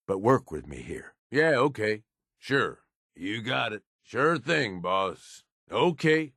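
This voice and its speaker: An actor playing a tough, cynical middle-aged raider henchman in a video game, with a gravelly voice and rough, folksy, sardonic slang. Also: on the high side, a bit bright, dry recording, clean studio sound, full character voice. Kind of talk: video game character voice lines.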